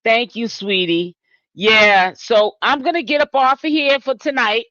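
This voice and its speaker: Chatty live-stream host from Boston, man, high register, dull lo-fi audio. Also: a little slow, sharp tone, dry recording. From Boston, Massachusetts. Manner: chatty, talks straight to viewers in chat. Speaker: YouTube commentary host. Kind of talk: casual live-stream commentary.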